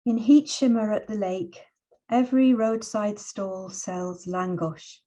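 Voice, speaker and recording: Reflective woman poet with a mellow voice. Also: mid-range voice, slow pace. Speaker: poet and teacher, invited guest. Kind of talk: relaxed personal talk and poetry reading.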